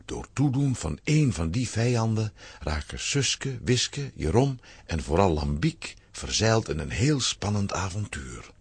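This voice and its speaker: Deep, very husky male storyteller. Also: big pitch swings, measured pace, no echo. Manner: storytelling, dramatic. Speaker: narrator. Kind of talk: scripted children's story narration.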